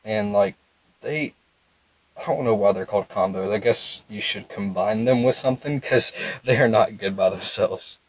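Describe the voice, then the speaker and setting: A young man with a calm, low voice, giving casual, rambling game commentary in a laid-back monotone. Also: normal speed, no room sound, rough recording. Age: young adult. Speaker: gaming video host.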